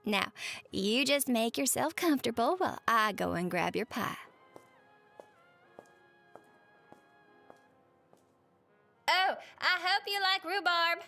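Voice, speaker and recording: Woman playing a cheerful high-voiced Southern waitress. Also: moderate pace, creaky, faint music behind, heavily acted. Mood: cheerful, attentive. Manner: folksy, expressive, uses pet names. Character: cheerful, chatty Southern waitress on a diner night shift. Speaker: roleplay audio performer. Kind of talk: roleplay monologue to the listener, chatty storytelling.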